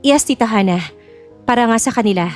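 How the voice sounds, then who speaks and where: Babaeng gumaganap na magalang, mautak na dalaga. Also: female voice, fairly high pitch, a little fast, darkish tone, faint music behind, close to an everyday voice. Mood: polite, sly. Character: young bride-to-be, polite, quietly cunning.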